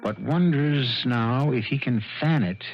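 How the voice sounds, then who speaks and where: A man hosting a 1970s radio mystery series with portentous, rhetorical scripted narration and deliberate pauses. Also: medium pitch. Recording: dull vintage radio recording. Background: dramatic music.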